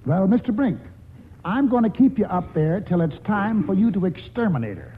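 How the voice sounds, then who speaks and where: Stubborn old grandpa, folksy, crafty, high voice, hissy old radio recording. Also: male voice, big pitch swings, moderate pace. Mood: stubborn, feisty. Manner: folksy, colloquial. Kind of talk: 1940s radio comedy acting. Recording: hissy, muffled old radio broadcast in a hall.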